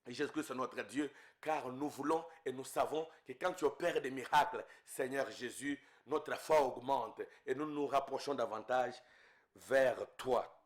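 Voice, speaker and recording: A man's voice: mid-range voice, unhurried. A man from Congo leading an intense spoken prayer as a preacher, fervent and repeating invocations, his voice rising and falling in waves.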